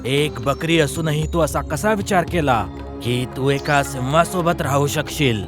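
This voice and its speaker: A man voicing a stern lion king in an animated fable, with a commanding manner. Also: fairly high pitch, measured pace, music in the background, lightly acted. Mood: haughty, merciless. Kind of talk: dubbed animated moral fable.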